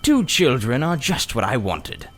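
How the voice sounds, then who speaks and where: A man voicing a sly old gentleman magician in a storybook, with oily, cunning, drawn-out politeness and false kindness. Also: mid-range voice, very animated. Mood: gloating. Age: older. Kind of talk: children's storybook character reading.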